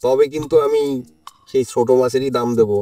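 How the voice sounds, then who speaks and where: A man's voice: mid-range voice, steady tone, a little fast, warm tone, far from the mic, slight background noise, natural voice. A man voicing a stingy, whining older father-in-law who grumbles in a comedy cartoon. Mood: grumbling, haggling.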